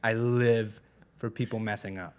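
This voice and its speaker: A man's voice: on the low side, fairly even intonation, moderate pace, clean tone. A young man co-hosting a podcast, joking around and going off on mock rants on a small studio stage. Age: young adult. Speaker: podcast co-host. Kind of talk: casual chat. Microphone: handheld mic.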